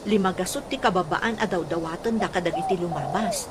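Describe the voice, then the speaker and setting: A woman's voice: lowish voice, a little fast, very noisy, lightly acted. An actress playing a chatty, exclamatory village woman in an Ilocano radio comedy drama. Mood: chatty, teasing. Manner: exclamatory, expressive.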